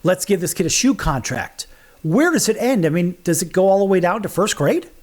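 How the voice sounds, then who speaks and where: A man hosting a sports talk show, animated and firing off rhetorical questions. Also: high-pitched, fairly quick. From United States. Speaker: host.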